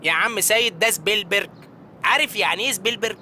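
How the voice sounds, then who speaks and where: A man's voice: high voice, fast talker, bright, forceful tone, slight background noise. A man voicing a cocky, naive Egyptian pilot in a comic cartoon, bantering in streetwise slang. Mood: cheeky, confident.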